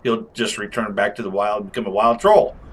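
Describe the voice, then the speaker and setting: A man's voice: lowish voice, steady tone, a little fast, breathy edge. Rambling, slangy man reviewing game mods. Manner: rambling, slangy, chuckling delivery. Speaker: host of a game mod review. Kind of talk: casual unscripted game commentary.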